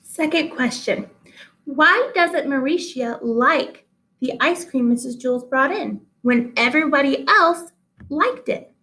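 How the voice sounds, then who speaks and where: High voiced lady doing an expressive kids story read aloud, teacher style, deliberate pauses. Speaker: teacher reading aloud to pupils.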